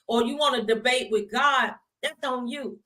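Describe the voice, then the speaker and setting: A woman's voice: on the high side, normal speed, bright, forceful tone. An American woman hosting a faith-based talk show, giving earnest, emphatic advice in a conversational way. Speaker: talk show host, minister.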